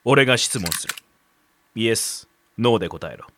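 An actor playing a gruff, playful middle-aged stranger with a quietly menacing side, talking roughly and teasingly in a radio drama. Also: male voice, on the low side, lively intonation, moderate pace, a little hiss or hum. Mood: cold, menacing. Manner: rough colloquial speech, teasing, instructing. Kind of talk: radio drama dialogue and storytelling.

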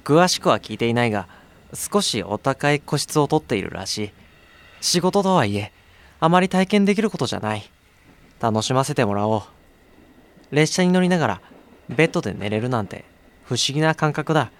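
A man's voice: mid-range voice, sing-song, a little fast, long pauses. A man playing a harried young courier in a radio drama, mixing casual first-person narration with exasperated asides. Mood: wry, pleased. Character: harried young male courier, wry and irritable.

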